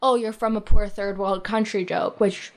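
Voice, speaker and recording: A woman's voice: medium pitch, normal speed. A young woman born in Pakistan, telling personal stories in a casual conversation and acting out the people she quotes. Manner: animated storytelling, acts out quoted people.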